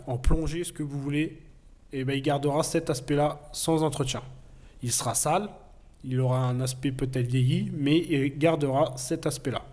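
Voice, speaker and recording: A man's voice: fairly high pitch, darkish tone. A man who has a cold, reviewing a knife in a plain, earnest way with frequent hesitations. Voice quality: hesitant, many fillers. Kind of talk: casual product review.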